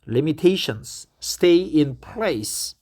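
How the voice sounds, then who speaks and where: Slow, halting man with a Korean accent. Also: fairly high pitch, expressive, a little mellow. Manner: halting, repeats phrases to practice them. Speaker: English learner. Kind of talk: reading news aloud and commenting.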